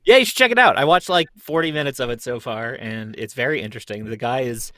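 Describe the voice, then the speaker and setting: A man in his thirties talks in a casual, rambling storyteller's way with a chuckle in it. His voice sits at a middle pitch and is recorded dry and close. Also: expressive, fast talker, a bit bright, no room sound. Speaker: podcast cast member. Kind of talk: casual chat. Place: basement.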